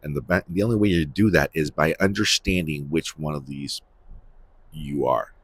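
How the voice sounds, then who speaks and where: A man with a husky voice giving a rambling, unscripted spiritual monologue, emphatically repeating phrases for stress as he hosts. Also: rumbling low, moderate pace. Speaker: host of a spiritual series.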